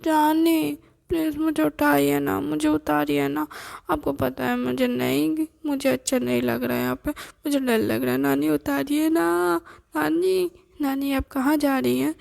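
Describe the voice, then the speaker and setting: A woman doing a small, tearful boy who begs and whines in a high, raised voice, with a gravelly, husky edge. His words come slowly and drawn out. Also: darkish tone, full character voice. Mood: pleading. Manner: pleading, raised and whiny. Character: small boy, whiny and pleading.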